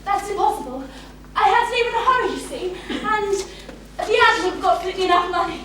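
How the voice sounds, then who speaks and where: A woman acts out a humble, earnest young servant in a stage play, pleading and protective. The pitch is very high and very mobile. The sound is distant and echoey. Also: expressive, fairly quick. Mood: eager. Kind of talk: stage drama acting. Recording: echoey hall, distant mic, audible noise.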